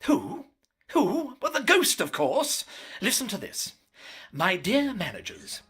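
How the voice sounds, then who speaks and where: Excitable manager, highish bright voice, very animated, wry, fussy, theatrical audio drama. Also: male voice, slow pace, husky, heavily acted. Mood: exasperated. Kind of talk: full-cast audio comedy-drama acting.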